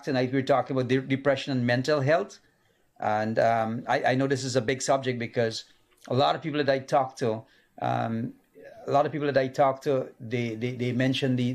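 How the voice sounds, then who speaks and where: A man hosting a live call-in medical show in a studio, talking casually with many fillers and restarts. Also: medium pitch. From Guyana.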